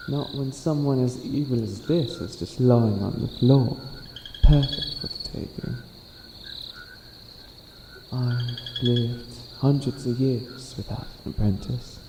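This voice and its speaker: A man playing a centuries-old, boastful but bumbling evil witch in an ASMR comedy roleplay, speaking in a theatrical, halting, grandiose way. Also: mid-range voice, echoey room, noisy background, close to an everyday voice. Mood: smug.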